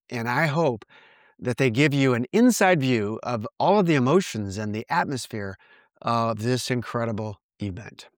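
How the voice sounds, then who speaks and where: A man in his sixties from the United States hosting his own podcast, reading reflective narration in an earnest, measured way. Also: medium pitch, no echo, studio quality. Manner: earnest, measured, reads from a script. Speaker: podcast host.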